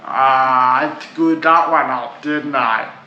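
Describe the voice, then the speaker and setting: Chatty Canadian guy, bright voice. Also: mid-range voice, slow. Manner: rambling, chatty, restarts with "like" and "uh". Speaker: music reviewer. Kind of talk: casual video review. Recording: dull lo-fi sound in an echoey room with faint noise.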